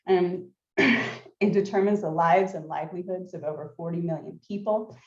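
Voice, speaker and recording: A woman from California giving remote welcome remarks as an institute president, hoarse and clearing her throat often. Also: lowish voice. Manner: frequent throat clearing, measured. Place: at home, remote video link. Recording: dull, echoey remote audio.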